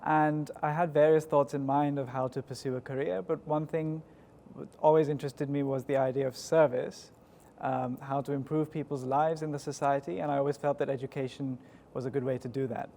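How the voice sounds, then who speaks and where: A man in his twenties with a southern English accent, giving measured, articulate and polite interview answers in a formal way. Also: mid-range voice, steady tone, creaky, warm tone. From United Kingdom. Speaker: school executive, interview guest. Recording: echoey hall with background noise.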